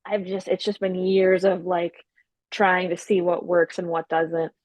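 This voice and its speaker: A woman's voice: on the low side, little pitch movement, a little slow, darkish tone, dry recording. A woman comedian guesting on a podcast, talking casually with a stutter, repeating sounds and restarting words, and swearing freely. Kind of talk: casual chat.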